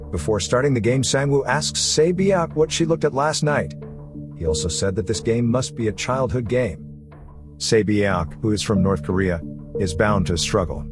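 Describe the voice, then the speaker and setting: A man's voice: fairly low pitch, expressive, normal speed. A man reading a scripted story recap as a narrator. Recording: music running behind the voice. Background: background music.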